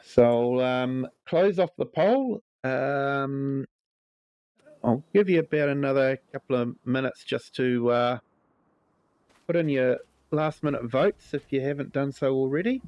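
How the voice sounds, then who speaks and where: A man with a New Zealand accent hosting a live gameplay stream, chatting with viewers in a relaxed way, his voice a little croaky from a cold. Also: medium pitch, a little slow, no echo, lo-fi sound. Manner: relaxed, chatty, greets viewers by name, many ums. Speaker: livestream host.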